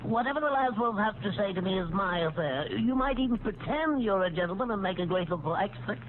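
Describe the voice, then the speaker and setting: A man's voice: high voice, lively intonation, fairly quick. A man voicing a smug, lovestruck ventriloquist's dummy with coy, insolent, wheedling delivery in an old radio drama. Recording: tinny, noisy vintage radio recording.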